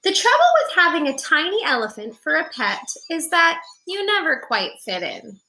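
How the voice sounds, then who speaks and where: A woman reading a picture book aloud to young children in an expressive storytelling way, speaking directly to her listeners. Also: high voice, moderate pace, faint music behind, low-quality recording.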